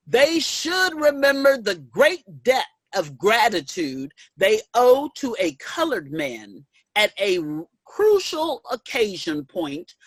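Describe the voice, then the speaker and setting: Stirring female suffrage orator, played by a woman, declamatory, slow, very dynamic, for stage reading. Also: fairly high pitch, fairly bright tone, dry recording, full character voice. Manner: declamatory, emphatic, deliberate. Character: stirring, emphatic women's suffrage orator of past times. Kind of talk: reader's theater declamation.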